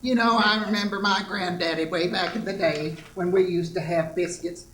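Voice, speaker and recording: A performer playing a folksy old grandma who rambles haltingly through a homespun cooking demonstration in a stage variety sketch. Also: mid-range voice, steady tone, a bit bright, recorded from a distance, natural voice. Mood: cheerfully instructive. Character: folksy old grandma pitching sponsor baking products. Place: live theatre stage. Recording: echoey noisy theatre stage recording.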